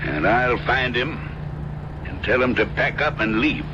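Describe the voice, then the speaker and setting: A man's voice: mid-range voice, animated, unhurried, lightly acted. Noisy muffled radio, grim superstitious innkeeper, acted by a man. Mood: alarmed, grim. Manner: blunt, ominous. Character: grave, superstitious innkeeper, firm and alarmed. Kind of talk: old-time radio mystery acting. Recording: muffled old radio broadcast under loud engine noise.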